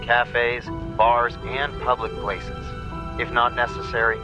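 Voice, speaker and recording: An actor playing a radio news announcer reading a formal public health bulletin in a measured, official way. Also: male voice, medium pitch, little pitch movement, music in the background, natural voice.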